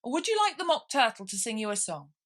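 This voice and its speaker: Woman playing a brusque gryphon, impatient, bossy, raised pitch, animated delivery, storybook creature. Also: fast talker. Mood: brisk. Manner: bossy, abrupt.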